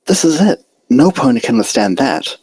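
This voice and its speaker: A man's voice: medium pitch, big pitch swings, normal speed, darkish tone, breathy edge, no room sound, pitched above the performer's own voice. A man voicing an anxious, earnest young female pony spectator in a story reading. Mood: tense.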